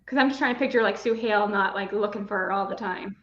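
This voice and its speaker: A woman's voice: fast talker, a bit bright. A young woman co-hosting a live book chat, asking puzzled questions about the plot. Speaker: book club co-host. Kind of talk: casual live book discussion. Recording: roomy, dull low-fi sound.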